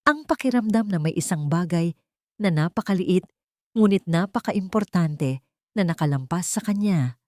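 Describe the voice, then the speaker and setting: A woman narrates a scripted drama in a slightly low voice. Her pitch moves widely from phrase to phrase, and the recording is close and dry. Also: darkish tone, no echo.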